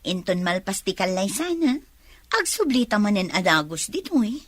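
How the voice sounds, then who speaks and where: A woman's voice: mid-range voice, sing-song, normal speed, fairly bright tone, no echo. An actress playing a chatty young woman friend in an Ilocano radio drama.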